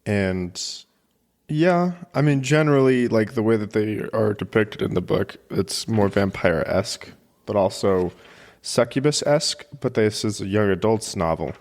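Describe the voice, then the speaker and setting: A man's voice: fairly low pitch, a little slow, a little mellow. A man co-hosting a podcast in casual banter, with an informal, joking way of talking. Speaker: podcast co-host.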